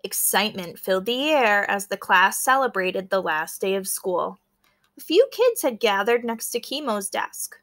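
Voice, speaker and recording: A woman with a bright voice at a middling pitch, speaking as an elementary school teacher. She reads a storybook aloud and steps out of the text to explain it. Her manner is instructive, often inviting young listeners to notice details and wonder about them. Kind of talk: read-aloud lesson for fourth graders, explaining as she goes.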